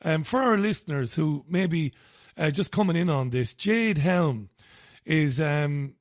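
A man's voice: fairly high pitch, expressive, a little slow, dry recording, clean studio sound. Middle-aged Irish man hosting talk radio. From Ireland. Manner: conversational, hesitant, with fillers, reads quotes aloud. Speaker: radio show host.

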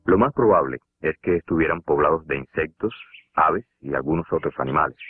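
A man with a Cuban Spanish accent giving measured, scripted documentary narration for a cartoon. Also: rumbling low, animated, breathy edge. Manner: measured, explanatory. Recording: tinny lo-fi narration over music.

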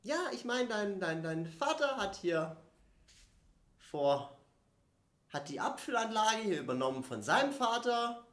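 A downcast warehouse worker played by a man in an improvised audio play. He is brooding and hesitant, gently questioning, with wide pitch movement and an audible room around him. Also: sing-song, unhurried, long pauses, full character voice. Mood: wondering. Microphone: microphone on stand. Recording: roomy stage sound.

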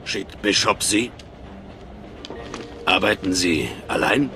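A man's voice: low-pitched, slow, noisy background. An actor playing a grave, wary male scientist defecting, in a dubbed video game. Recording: music over the voice.

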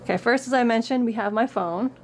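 A woman's voice: a little high, quick pace, background noise. Woman with a cold, chatty show-and-tell. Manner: reads book excerpts with upbeat lift, then chats plainly. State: getting over a cold. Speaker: craft vlogger. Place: indoor room against a plain backdrop.

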